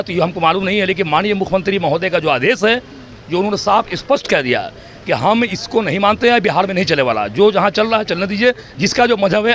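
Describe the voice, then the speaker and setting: A man's voice: high voice, a bit bright. A man with a Bihari accent in a street interview, speaking formally and emphatically, piling up synonyms. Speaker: local resident interviewed. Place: outdoor street. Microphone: handheld reporter microphone.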